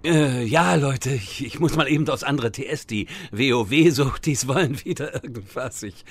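A man's voice: mid-range voice, moderate pace, breathy edge. A man playing a distracted, self-important wizard who stammers and repeats words in a fantasy parody audio drama. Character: distracted, pompous, lazy great wizard.

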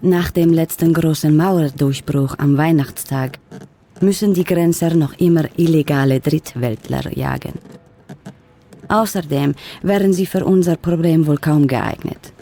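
Low, mellow-voiced official. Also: female voice. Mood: concerned, matter-of-fact. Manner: measured, matter-of-fact, official. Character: composed, businesslike female government official, discreetly persuasive. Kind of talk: radio drama dialogue.

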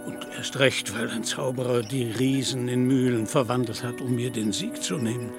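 An actor playing a grandiose, deluded knight-errant in a radio play, declaiming pompously with a creaky voice. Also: male voice, medium pitch, fairly even intonation, normal speed, music in the background, lightly acted. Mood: solemn, wounded pride. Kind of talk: literary radio play acting.

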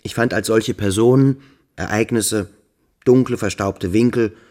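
An actor playing an earnest young boarding-school pupil in a radio play, speaking in a searching, thoughtful, deliberate way. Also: male voice, lowish voice, darkish tone, natural voice.

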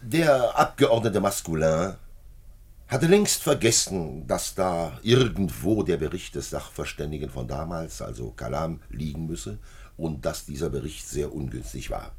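Deep, husky, methodical police commissioner. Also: male voice, sing-song, heavily acted. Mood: grave, reflective. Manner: measured, explanatory, authoritative. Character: calm, methodical male police commissioner, middle-aged detective. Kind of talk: 1950s German radio play acting. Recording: 1950s radio drama recording.

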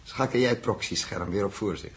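An actor playing a calm, reflective male spaceship captain in a 1960s Dutch radio drama. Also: on the low side, lightly acted. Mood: calm command. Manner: measured, musing, commanding.

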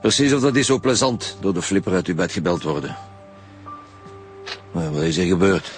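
A man playing a weary, grumpy chief inspector in a radio drama, gruff and curt, with a Flemish accent. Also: sits low, moderate pace, faint music behind, close to an everyday voice. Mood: grumpy, businesslike. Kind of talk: naturalistic radio drama acting.

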